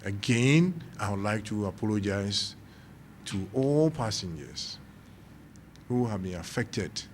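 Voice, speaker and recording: A man with a Ghanaian accent giving an official statement in measured, halting short phrases. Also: lowish voice, animated. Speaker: civil aviation official. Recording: faint background noise.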